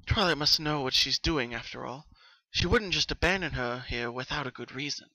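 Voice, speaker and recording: A man reads a story aloud in a dramatic, suspenseful way. His voice is strikingly bright and sharp at a middle pitch. The sound is close and dry, with very little room to it. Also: animated, normal speed, no room sound, rough recording. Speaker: narrator. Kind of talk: dramatic fan fiction reading.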